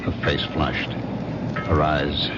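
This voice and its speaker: A man's voice: a bass voice, little pitch movement, takes it slowly, heavy background noise. An actor playing a jealous, brooding husband who narrates his own crime with confessional intensity in a radio drama. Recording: muffled old radio broadcast, loud music.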